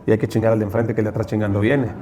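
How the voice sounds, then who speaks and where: A man's voice: fairly low pitch, flat delivery, very fast. A man with a Mexican accent in a conversational interview, explaining motivationally and emphatically with repeated rhetorical questions and lists. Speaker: interview guest. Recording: clear crowd chatter behind.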